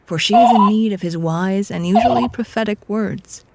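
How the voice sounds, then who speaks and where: A woman's voice: mid-range voice, normal speed, vocal fry, very noisy. A woman narrator giving scripted, dramatic storytelling narration for an audio drama.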